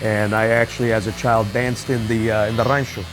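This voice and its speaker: A man from Portugal, a barber, chatting in a relaxed, matter-of-fact way. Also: on the low side, brisk, music in the background, low-quality recording. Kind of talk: casual interview chat.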